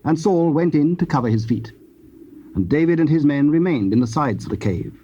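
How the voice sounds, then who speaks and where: A man narrating dramatized scripture in a formal, solemn and measured storytelling style. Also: fairly high pitch, expressive, mellow. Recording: muffled, hissy old cassette tape.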